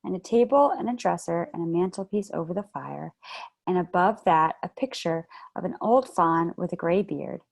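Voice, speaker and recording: A woman's voice: fairly low pitch, animated, normal speed, gravelly. A woman reading aloud, voicing a polite, fussy male faun who talks in an eager, formal way. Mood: courtly, eager. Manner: eager, formal, slightly flustered.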